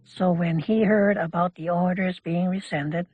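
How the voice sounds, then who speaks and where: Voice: mid-range voice, steady tone, unhurried. An older speaker recalling their life in plainspoken, short, reflective phrases. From Canada. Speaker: interviewee recalling childhood. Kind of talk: personal oral-history storytelling. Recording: dull lo-fi audio under music. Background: music.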